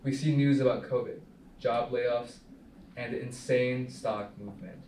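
A man's voice: mid-range voice, steady tone, unhurried, mellow. A young man reading stage directions as scripted narration at a film table read. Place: small meeting room, indoors. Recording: roomy, distant mic, faint noise.

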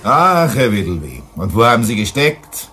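A man's voice: lowish voice, big pitch swings, moderate pace, sharp tone, a little hiss or hum. An actor playing a curt, sceptical company man in a German science fiction radio drama, with a challenging manner. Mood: sceptical, impatient.

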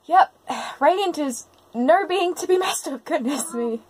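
Higher-voiced woman reacting casually. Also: lively intonation, measured pace, darkish tone. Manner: murmured exclamations and asides to the characters. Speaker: reaction commentator.

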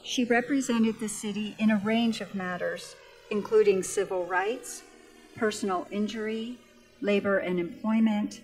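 A woman's voice: medium pitch, distant mic, poor audio. A woman formally reading a speaker's introduction at an outdoor ceremony.

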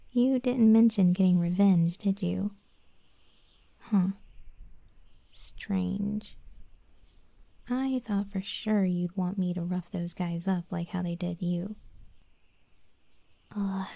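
A woman performs a proud scorpion monster girl fighter for an ASMR audio roleplay. Her voice is very soft, dark and breathy, mostly whispered at an unhurried pace. She sounds grudging and haughty, with a flustered edge, close and dry on the mic. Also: on the low side, no room sound, exaggerated voice. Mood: puzzled, grumbling.

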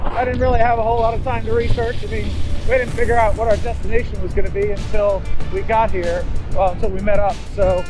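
A man's voice: high voice, fast talker, over music. A man chatting casually as he rides a motorcycle, calling out roads and talking to his viewers. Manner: relaxed, chatty, narrating the road as he rides. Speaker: motorcycle vlogger. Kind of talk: casual ride commentary. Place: outdoors on a moving motorcycle. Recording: dull helmet-mic audio with loud wind and engine noise. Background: motorcycle engine and traffic.

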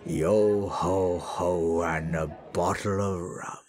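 A man voicing a jovial, roguish werewolf pirate captain in a hearty, teasing audio roleplay, with a stage pirate accent and drawn-out words. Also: low voice, fairly even intonation, darkish tone.

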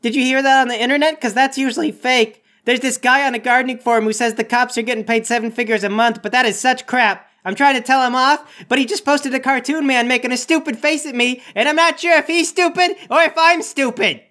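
A man's voice: very high voice, steady tone, a little fast, few pauses, bright, forceful tone, pitched above the performer's own voice. A man voicing an anxious, needy, gullible ex-cop with a whiny, earnest delivery in improvised comedic roleplay. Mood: anxious, confused.

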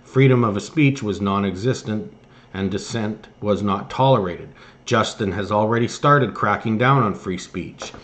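A man's voice: on the low side, a bit bright. A man hosting political commentary, reading articles aloud and stumbling now and then over the text. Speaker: host of a political commentary show.

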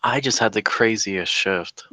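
A man's voice: lowish voice, measured pace, pushed and bright, no room sound. A man playing a weary, principled working mother in a plain, subdued drama script reading. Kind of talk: table read of a TV drama script.

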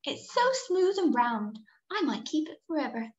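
An Irish woman voicing a dreamy, possessive but kindly octopus in a children's storybook, softly admiring. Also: high-pitched, sing-song, moderate pace, pitched above the performer's own voice. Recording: muffled, echoey room recording.

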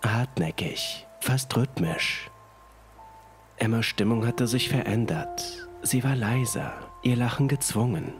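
A man's voice: medium pitch, slow pace. A young man reading a scripted first-person horror story as narrator. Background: music.

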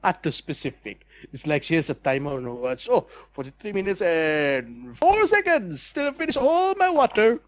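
A man's voice: high voice, big pitch swings, moderate pace, few pauses, no room sound, highs cut off. A young man reads a visual novel aloud as a let's play host, with dramatic expression and asides.

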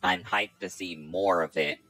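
Young man, deep voice, bright tone, casual podcast chat, opinionated, enthusiastic. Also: fairly even intonation, unhurried. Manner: opinionated, enthusiastic, rambling with fillers. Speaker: podcast co-host. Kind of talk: casual chat about video games.

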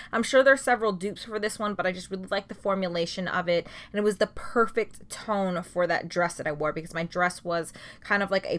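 A woman's voice: lowish voice, a bit bright. Chatty woman reviewing nail polish. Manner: chatty, enthusiastic, says "like" often. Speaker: beauty vlogger. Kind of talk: casual product review. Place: home filming room with shelves of nail polish, indoors. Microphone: camera at desk, close framing.

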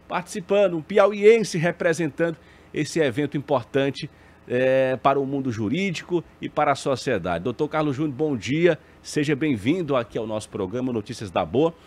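A man's voice: medium pitch, lively intonation, moderate pace, a little mellow. A man hosting a morning TV news program from a studio, introducing and questioning a guest in a conversational way with tag questions. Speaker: TV news host.

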